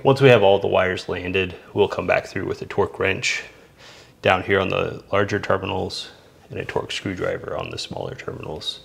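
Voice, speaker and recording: Deep, gravelly-voiced man explaining steps. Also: lively intonation, moderate pace.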